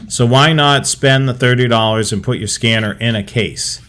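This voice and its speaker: Man, middle pitch, hobby show co-host, casual chat, conversational advice, hypothetical examples. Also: normal speed, fairly bright tone.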